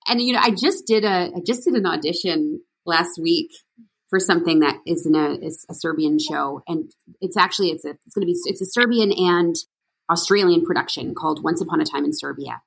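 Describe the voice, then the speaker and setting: A middle-aged woman from Canada chatting about her acting work, her voice a touch low and husky. She rambles through stories with lots of "you know" and "like" and restarts mid-sentence. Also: brisk, rough recording. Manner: chatty, storytelling, many fillers and restarts. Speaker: actress guest. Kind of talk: casual interview chat.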